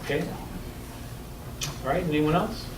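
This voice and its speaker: A man's voice: fairly high pitch, a little slow. A man chairing a township board meeting, keeping it brief and calling on the public for comment. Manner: brief, easygoing, calls on speakers. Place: township hall meeting room. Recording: echoey room from a far mic, noisy.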